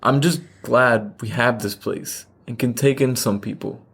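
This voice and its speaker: A man's voice: mid-range voice, unhurried, slightly rough. An actor playing an earnest, caring man who is affectionate with his partner, in naturalistic radio play dialogue.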